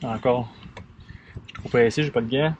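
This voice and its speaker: A man's voice: mid-range voice, steady tone, normal speed, darkish tone, muffled recording, slight background noise. A man in his thirties with a Québécois French accent, casually talking through a fiberglass repair step by step while he works outdoors in a boatyard. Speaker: sailing vlogger. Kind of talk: hands-on repair demo. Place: outdoor boatyard under a hull. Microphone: action camera close up.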